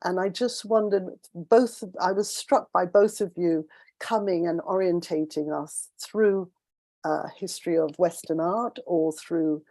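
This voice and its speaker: Mellow thoughtful woman on a panel. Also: lowish voice, a little slow, no room sound. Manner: thoughtful, searching, frequent restarts and rephrasing. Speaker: panelist asking questions. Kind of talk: panel discussion.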